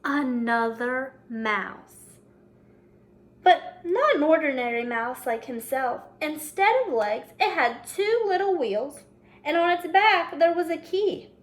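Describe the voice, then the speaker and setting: A woman with a high voice reads a children's picture book aloud as a teacher would. She narrates and comments, addressing young listeners directly and prompting them to think. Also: expressive, slow.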